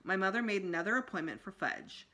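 Very animated middle-aged woman reading aloud. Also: mid-range voice, fast. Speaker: school storytime reader. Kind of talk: expressive children's book read-aloud. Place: small room indoors at home.

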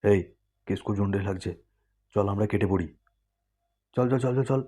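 A low, mellow voice acted by a man for a gossipy friend in a comic cartoon. He mutters in a cagey, conspiratorial way. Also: moderate pace, lightly acted. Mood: wary.

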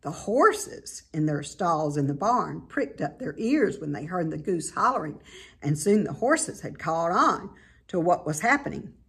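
A middle-aged woman with a slightly husky voice reads a children's story aloud expressively, like a teacher. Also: lowish voice, big pitch swings, measured pace, fairly bright tone. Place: indoor room at home by a staircase.

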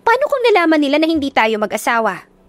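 A woman voicing a feisty, quick-tempered young woman who turns shrill and indignant and stammers when flustered. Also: big pitch swings.

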